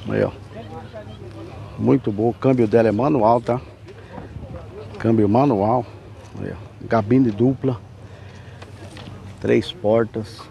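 A man from Brazil giving a folksy, chatty sales pitch while filming cars at an outdoor car fair on a handheld camera. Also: lively intonation, slow, vocal fry, pauses often, warm tone. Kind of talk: walkaround sales vlog. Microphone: handheld camera mic, filming.

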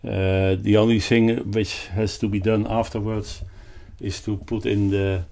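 An older man with a Dutch accent explains a product off the cuff, haltingly searching for words. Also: fairly low pitch, little pitch movement, gravelly, darkish tone. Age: 60s or older. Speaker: loudspeaker designer presenting his product. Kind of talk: unscripted explanatory product talk. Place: carpeted, wood-panelled listening room indoors.

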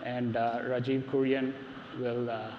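A man's voice: mid-range voice, level tone, measured pace, slight background noise. A middle-aged man presenting on a large stage to a live audience, speaking emphatically and repeating words as he starts sentences. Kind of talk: technical keynote talk. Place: large dark stage before a seated audience. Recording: roomy hall reverb, dull sound, faint ambience.

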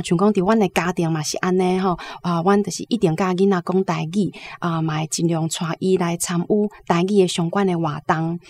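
A woman's voice: fairly low pitch, a little mellow. Taiwanese woman, academic guest commentator. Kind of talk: informal spoken commentary.

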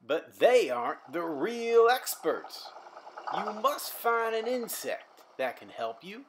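A woman's voice: medium pitch, big pitch swings, slow pace, a little hiss or hum, voice pushed up. A woman voicing a kind, helpful male farmer in a children's story. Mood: friendly.

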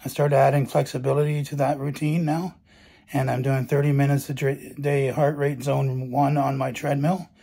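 A middle-aged man with a hoarse, gravelly voice gives a calm, matter-of-fact personal update into a phone camera in his bedroom. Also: mid-range voice, normal speed. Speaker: vlogger. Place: bedroom at night, indoors. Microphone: phone camera held close.

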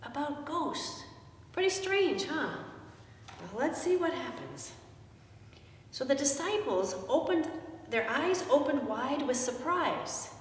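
Echoey, high-voiced woman pastor. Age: middle-aged. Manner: animated, simple explanatory delivery with questions to children. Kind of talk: children's message, storytelling from a picture book. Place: indoors in a church, seated before the altar. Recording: echoey church, distant microphone, noisy background.

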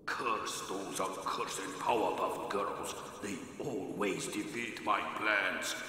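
An actor voicing a pompous, long-winded male chimp villain in a cartoon, given to shouting and repeating himself. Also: very high voice, very animated, takes it slowly, vocal fry, very noisy, full character voice. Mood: scheming. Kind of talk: cartoon voice acting.

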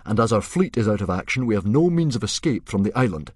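A man voicing a blustering, awkward Viking chief who declaims loudly as if in command, for a storybook. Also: fairly low pitch, big pitch swings, fast talker, slightly rough, dry recording, clean studio sound, voice pushed up. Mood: blustering, scared. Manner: loud, declamatory, trying to sound in command.

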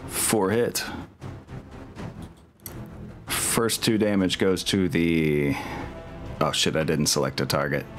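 Low-voiced man running a tabletop game. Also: lively intonation, slow pace, long pauses. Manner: thinking aloud, narrating dice results. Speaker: game master. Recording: music behind.

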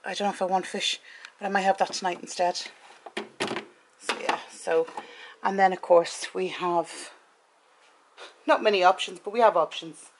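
A woman's voice: lowish voice, steady tone, measured pace, long pauses. A woman in her forties with an Irish accent, chatting casually and matter-of-factly as a vlogger. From Ireland. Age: 40s. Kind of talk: casual chat about shopping. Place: home kitchen and inside a car. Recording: clicks and clear background noise.

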